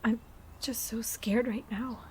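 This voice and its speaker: A woman acting as a frightened, haunted middle-aged character in an audio drama, hesitant and breathy. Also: a little high, steady tone, slow, a little hiss or hum, poor audio. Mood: scared, despairing. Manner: hesitant, anxious.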